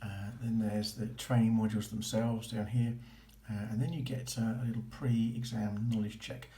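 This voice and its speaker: A man's voice: fairly low pitch, little pitch movement, normal speed, dark tone. A man giving a casual, informal, approving walkthrough as a vlogger. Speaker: vlogger documenting a boating course. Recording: roomy echo with faint noise.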